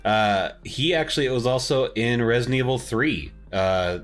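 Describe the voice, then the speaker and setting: A man's voice: medium pitch, moderate pace, faint music behind. A man co-hosting a podcast, talking in an informal, enthusiastic way about films and games. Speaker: podcast co-host.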